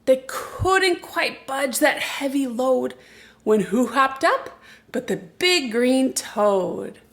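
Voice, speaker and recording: A woman reading a storybook aloud for young children, in an expressive, deliberate, rhythmic delivery. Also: sits high, vocal fry.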